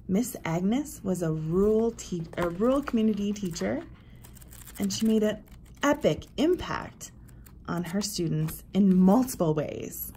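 Slow-paced woman presenting. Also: medium pitch, a little mellow, husky. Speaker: presenter for a homeschool curriculum blog. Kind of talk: book recommendation walk-through to camera.

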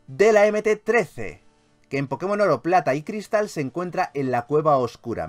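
A man with a Spanish accent from Spain commentates on a video game in a casual, expressive style. His voice sits a little high and swings widely in pitch. Game music plays behind him, and his language is informal and salty. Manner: informal, expressive, peppered with swearing. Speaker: gaming YouTuber. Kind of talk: casual Let's Play commentary.

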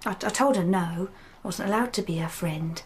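A woman acts out a shy young servant girl in a radio play, speaking in a working-class English accent. She is deferential and hesitant, earnest and quick to apologise, with faint noise behind her. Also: fairly low pitch, natural voice. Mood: hesitant, confiding. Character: timid, earnest young housemaid, soft-hearted and deferential. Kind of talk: radio drama acting.

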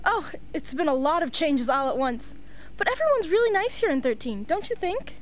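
A woman's voice: high voice, very animated, normal speed, a little hiss or hum. A woman playing a sweet, naive teenage girl in an amateur radio play. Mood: sweet, admiring.

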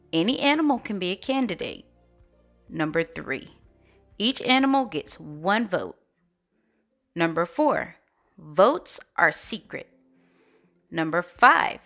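A woman reading a children's storybook aloud as storyteller, in an expressive, deliberate way. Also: medium pitch. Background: faint music.

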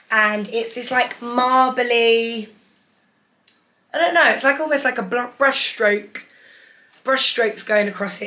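Hoarse, bright-voiced young woman. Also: medium pitch. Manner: chatty, enthusiastic, holds up each item. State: hoarse, losing her voice. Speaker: fashion vlogger. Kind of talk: casual haul vlog. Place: living room at home. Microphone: camera in front, seated.

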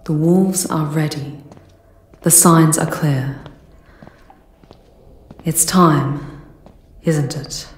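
A woman acting a coolly scheming castle servant in a dramatic ASMR roleplay, measured and conspiratorial, in an echoey space. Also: deep voice. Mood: ominous, calculating.

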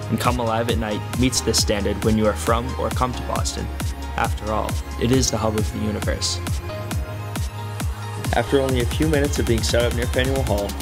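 A man's voice: lowish voice, level tone, fairly quick. A young man reading an earnest, formal scripted narration for a student documentary. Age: young adult. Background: music.

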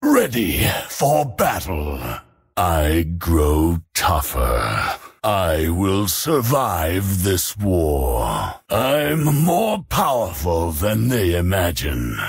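An actor playing an arrogant, bloodthirsty demonic male sorcerer in a video game, with a slow, threatening, boastful delivery. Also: wildly swooping pitch, exaggerated voice. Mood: menacing. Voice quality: deep gravelly menacing growl.

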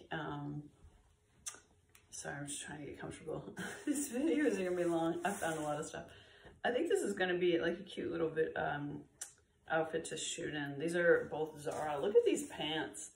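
A woman's voice: low voice, gravelly, slight background noise. A middle-aged or older woman chatting casually to camera with lots of fillers, slightly congested from a cold, recorded indoors by a camera a few feet away. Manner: relaxed, chatty, with frequent fillers. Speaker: vlogger sorting found clothes. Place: bright room with bare walls, indoors. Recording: roomy, slightly distant camera audio.

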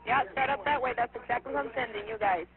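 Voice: mid-range voice, quick pace. A fire dispatcher talking procedurally over a two-way radio, clipped and repeating messages back. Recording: tinny, noisy fire dispatch radio recording.

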